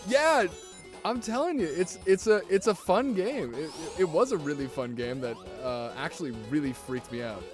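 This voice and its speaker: A man streaming a video game, chatting casually with viewers in an excitable, rambling way and swearing often. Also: a little high, normal speed. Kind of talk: casual gameplay commentary. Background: music.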